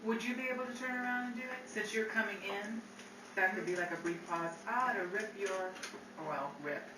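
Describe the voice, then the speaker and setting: A woman's voice: medium pitch, fairly even intonation, brisk. A woman who works as announcer and director for a radio drama production. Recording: echoey, distant, noisy room with people.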